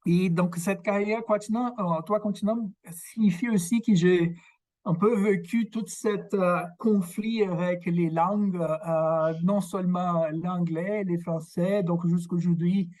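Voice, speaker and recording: A man from Brazil with a Québécois French accent and Portuguese as his first language, giving a workshop lecture over a video call, halting and searching for words. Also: high voice, steady tone, darkish tone. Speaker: guest lecturer, researcher. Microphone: video call microphone.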